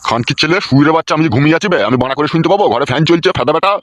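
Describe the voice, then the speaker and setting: A man voicing a grumpy, foul-mouthed older father-in-law in a comedy cartoon, scolding gruffly in a rural West Bengal accent. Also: fairly high pitch, rapid-fire, a bit bright, no room sound, lightly acted. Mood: irritated.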